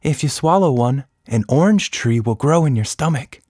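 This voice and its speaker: A man voicing a shy, lonely young girl in a children's story, gentle, curious and tentative. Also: medium pitch, animated, moderate pace, no echo, studio quality, voice pushed up, natural voice. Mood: earnest.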